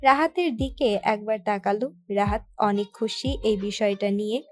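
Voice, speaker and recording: A woman's voice: medium pitch, normal speed, faint music behind. A woman reading out scripted story narration as the narrator of an audio tale.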